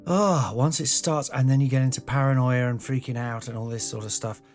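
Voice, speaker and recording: A man hosting a solo podcast in a room of an old house, slightly croaky, talking in a meandering, self-deprecating way with some swearing, into a mic without a pop shield. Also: medium pitch, fairly even intonation, brisk, a little mellow, faint music behind. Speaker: podcast host. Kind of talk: rambling solo podcast monologue. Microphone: home podcast mic without a pop shield.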